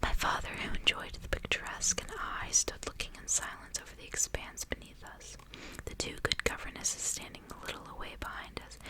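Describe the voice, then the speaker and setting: A woman reading aloud in a soft, breathy, hushed whisper, in an intimate ASMR style. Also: poor audio. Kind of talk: whispered ASMR audiobook reading with casual asides.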